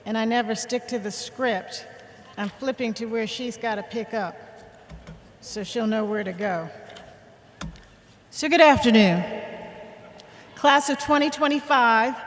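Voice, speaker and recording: A woman's voice: a little high, a little hiss or hum. A woman speaking as a college official leading a commencement, in a formal address style. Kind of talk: formal ceremony address with casual asides. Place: large indoor arena at a commencement. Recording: echoey large arena, long reverb.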